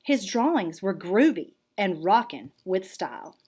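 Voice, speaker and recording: A woman reading a children's picture book aloud in an expressive, deliberate storytelling manner. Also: mid-range voice, husky. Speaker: art teacher reading aloud.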